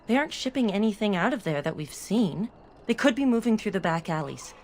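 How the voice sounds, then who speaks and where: A woman's voice: mid-range voice, expressive, brisk, a little mellow, slight background noise, close to an everyday voice. An actress playing a worried, determined townswoman in a fantasy game, earnest and urgent. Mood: insistent, determined. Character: worried, determined female city-dweller seeking missing people.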